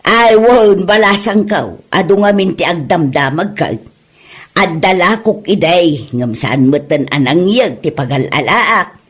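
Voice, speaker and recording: A woman playing a kindly older village auntie in a comedy audio drama, with familiar, drawn-out exclamations, in Ilocano. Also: lowish voice, animated, husky. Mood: puzzled, gossipy.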